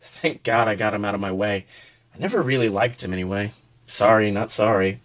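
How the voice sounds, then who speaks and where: A man's voice: on the low side, fairly quick, fairly bright tone, voice pushed down, close to an everyday voice. A man voicing a gloomy, grumpy ogre who tells his sorrows in a melodramatic, dismissive way.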